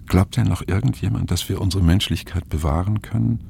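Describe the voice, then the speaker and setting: An actor playing an aging, pensive narrator of a radio play, speaking gravely and in measured phrases with a gravelly voice. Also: male voice, deep voice, warm tone, a little hiss or hum. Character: aging, pensive male narrator reading his diary.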